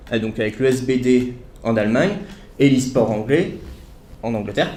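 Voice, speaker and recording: A man's voice: medium pitch, a little mellow. A young man giving an academic talk at a study day, earnest, with many fillers and self-corrections. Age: young adult. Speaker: student speaker at a study day. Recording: echoey room.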